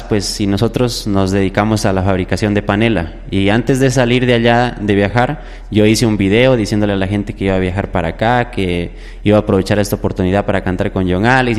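Young male singer guest, low voice, conversational storytelling in a reverberant recording. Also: steady tone, brisk, hardly any pauses, smooth. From southern Ecuador. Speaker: musician guest, popular music singer. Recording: echoey hall-like reverb.

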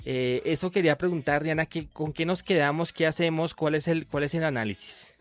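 A man's voice: fairly high pitch, brisk. A man hosting an interview, chatty and full of fillers and restarts. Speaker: radio host.

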